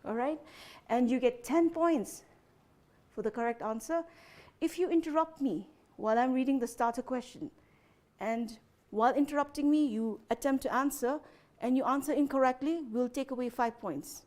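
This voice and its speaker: A woman's voice: high-pitched, moderate pace, darkish tone. A young adult woman hosting a student quiz, explaining the rules in a chatty way, often saying "you know" and checking that the teams follow. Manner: chatty, frequent "you know" fillers, checks understanding with "okay?". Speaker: quizmaster.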